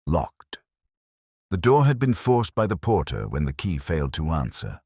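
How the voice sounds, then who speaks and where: A man playing a brooding, world-weary, literary police detective, narrating his story in a measured, solemn, wry manner. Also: a bass voice, wildly swooping pitch, a little mellow, breathy edge, dry recording, clean studio sound, exaggerated voice. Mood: brooding, reflective. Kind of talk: scripted first-person detective story narration.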